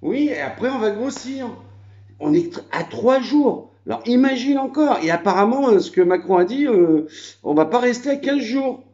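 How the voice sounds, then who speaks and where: A man's voice: extremely high pitch, animated, moderate pace, a little mellow, lo-fi sound. Rambling French man on a phone video. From France. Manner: rambling, chatty, full of fillers. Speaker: vlogger. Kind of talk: casual home video chat. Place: house in the countryside.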